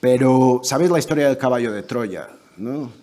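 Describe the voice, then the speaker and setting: A man's voice: medium pitch, normal speed, dark tone. Hesitant man speaking at a debate. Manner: thoughtful, many restarts and hesitations. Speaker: debate panelist. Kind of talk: spoken debate contribution.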